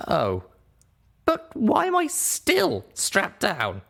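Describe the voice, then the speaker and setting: A man's voice: sits high, huge pitch swings, drawn-out words, creaky, fairly bright tone, pitched above the performer's own voice, full character voice. A defiant young pony mare, acted by a man, weary yet stubborn and indignant. The voice sits well above the reader's own and swings widely as it protests bluntly, in the style of an audiobook fantasy tale. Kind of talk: audiobook character voice in storybook reading.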